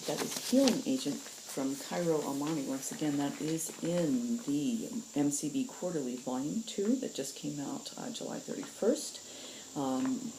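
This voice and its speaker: A woman's voice: a bass voice, noisy background. A woman storyteller hosting and reading aloud. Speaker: storyteller, show host.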